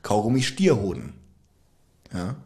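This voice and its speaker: A man's voice: on the low side, sing-song, measured pace, a little mellow. A young man co-hosting a podcast, chatting casually about an audio drama, joking and laughing often. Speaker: podcast co-host.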